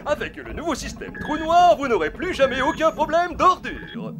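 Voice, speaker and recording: Loud music surrounds a showy space game show announcer. His voice is very high and animated, grandiose in a cartoon parody of television presenting. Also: moderate pace.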